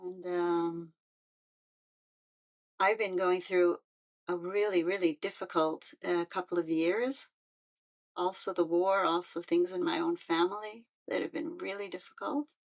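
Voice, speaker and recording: Gravelly older woman, halting. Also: lowish voice, steady tone, low-quality recording. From United States. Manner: halting and heartfelt, with frequent restarts. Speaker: musician and peace activist. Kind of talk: personal reflective talk.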